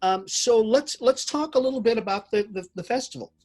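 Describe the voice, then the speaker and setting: Husky-voiced man hosting a friendly interview. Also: extremely high pitch, brisk, a little mellow. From Jamaican family. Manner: friendly, stumbling restarts. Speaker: interview host. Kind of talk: casual interview.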